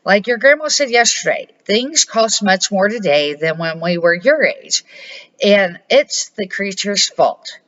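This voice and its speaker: A woman's voice: mid-range voice, animated, a little slow, a bit bright, dull audio. An older woman reading the part of a patient old grandfather who explains things emphatically in a children's storybook. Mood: patient explaining. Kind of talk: storybook read-aloud.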